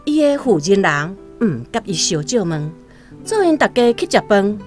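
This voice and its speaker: A woman with a Taiwanese accent narrating a literary radio drama in an expressive, dramatic storytelling manner. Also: medium pitch, normal speed, soft background music.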